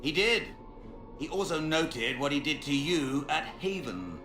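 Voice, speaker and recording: An actor playing a smug, fanatical mage villain in a fantasy game, gloating and pompous in a theatrical way. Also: male voice, fairly high pitch, animated, measured pace, pushed and bright. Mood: menacing. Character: smug fanatical male mage villain serving a dark master. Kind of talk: dramatic fantasy game acting. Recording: echoey, with music behind.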